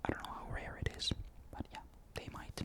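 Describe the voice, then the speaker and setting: Young man whispering softly. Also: brisk. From Finland. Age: young adult. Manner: soft unvoiced whisper throughout; rambling, many fillers and restarts. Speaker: ASMR creator. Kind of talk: whispered ASMR chat. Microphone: desktop condenser mic.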